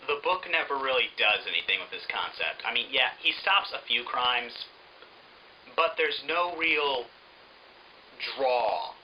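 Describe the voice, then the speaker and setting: Animated man, very bright sharp voice. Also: mid-range voice, slight background noise, low-quality recording. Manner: exasperated, sarcastic, animated. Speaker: book reviewer. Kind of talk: opinionated informal book review.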